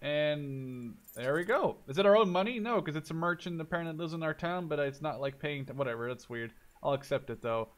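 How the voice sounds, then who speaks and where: A man in his twenties giving casual, excitable let's play commentary, thinking out loud as he goes. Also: fairly high pitch, a little fast, few pauses. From Canada or the Netherlands. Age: 20s. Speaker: gaming video host.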